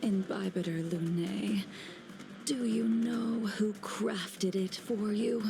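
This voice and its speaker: A woman voicing a calm, haughty, cryptic swordswoman in a video game, with soft breathy, measured delivery. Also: medium pitch. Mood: calm, teasing. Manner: slow, measured, disdainful. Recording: background music under the voice.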